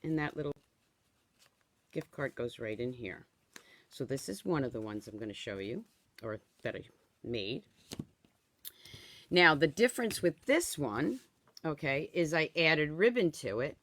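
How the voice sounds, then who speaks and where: A woman in her sixties, slightly hoarse from a cold, leading a live craft tutorial in a chatty way and often checking in with "okay?". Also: low-pitched, sing-song, unhurried, long pauses, a bit bright, a little hiss or hum. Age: 60s. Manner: chatty, tags instructions with "okay?". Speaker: stamping craft demonstrator.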